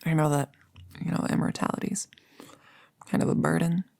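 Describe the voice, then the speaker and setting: A woman's voice: low voice, steady tone, moderate pace, gravelly, a little mellow, dry recording. A woman playing a chatty, upbeat girlfriend in an intimate ASMR roleplay, rambling casually and musing with hesitations. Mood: musing, hopeful. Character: chatty, upbeat girlfriend about to become a vampire.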